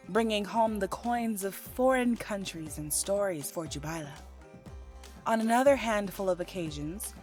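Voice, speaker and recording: A woman reading scripted audiobook narration as the storyteller of a fiction podcast. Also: mid-range voice.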